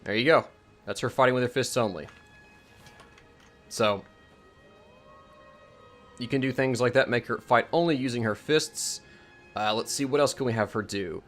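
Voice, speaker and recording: A man with a mid-pitched voice presents a game mod in a casual commentary. He explains what he is doing step by step and often thinks aloud as he decides what to try next. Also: fairly bright tone. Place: indoors at home, voice-over on gameplay.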